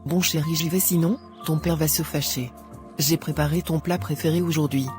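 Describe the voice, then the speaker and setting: A woman playing an affectionate, teasing wife and mother in an animated drama. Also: low voice, moderate pace, close to an everyday voice. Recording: clear music behind the voice.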